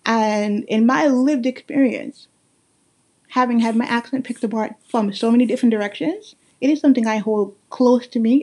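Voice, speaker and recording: A woman in her thirties from Guyana with a Guyanese accent, hosting a solo podcast in an earnest, emphatic way, slowing down to stress her points. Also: fairly high pitch, breathy edge. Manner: earnest and emphatic, with slow deliberate stresses and warm laughs. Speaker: podcast host. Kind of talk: casual solo podcast monologue.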